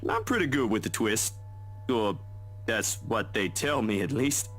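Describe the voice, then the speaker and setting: A man voicing a friendly, easygoing young man in a game, with a relaxed, teasing way of talking. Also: on the low side, a little slow, soft background music, exaggerated voice. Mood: playful.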